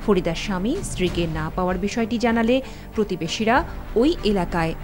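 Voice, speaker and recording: A woman from Bangladesh reading a scripted news report in a steady, measured voice. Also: medium pitch. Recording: voice-over with music bed.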